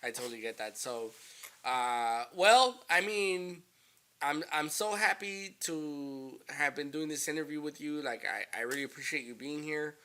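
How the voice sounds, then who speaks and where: A man hosting a casual interview, relaxed and encouraging, with lots of fillers. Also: a little high, measured pace. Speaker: podcast host.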